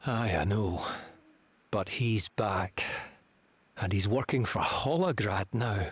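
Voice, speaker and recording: A man's voice: fairly low pitch, slow pace, husky, no echo. A man playing an earnest, loyal townsman in a video game drama, speaking with emphatic feeling. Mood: weary, urgent. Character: earnest townsman Roddy, loyal, frightened and indignant.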